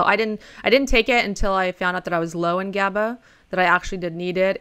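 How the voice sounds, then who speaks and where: A woman in her forties hosting a podcast over a video call, friendly and casual, laughing easily and filling pauses with um and uh. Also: lowish voice, a bit bright, clean tone. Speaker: podcast host. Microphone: close condenser mic.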